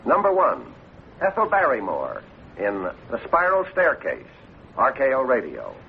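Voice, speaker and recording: A man's voice: medium pitch, huge pitch swings, unhurried, creaky. A man formally announcing award nominations in a 1940s announcing style. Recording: tinny old radio broadcast, faint noise.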